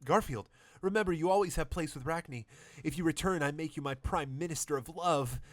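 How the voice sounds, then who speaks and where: A man's voice: on the high side, fairly quick, no echo, voice pushed up, close to an everyday voice. A man voicing a lovesick female alien bug queen who pleads in broken English. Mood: pleading.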